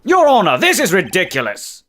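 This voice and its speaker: Man doing a haughty prosecutor, scoffing, lively pitch. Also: moderate pace, slight background noise, heavily acted. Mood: indignant. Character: smug, indignant male prosecutor. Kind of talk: fan dub of game dialogue.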